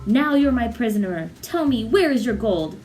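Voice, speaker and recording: A woman's voice: fairly high pitch, animated, normal speed, darkish tone, soft background music, close to an everyday voice. An eager, cocky young farm lad from a storybook, acted out by a woman reading aloud. He is clever and boastful, speaking in bold exclamations. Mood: fierce.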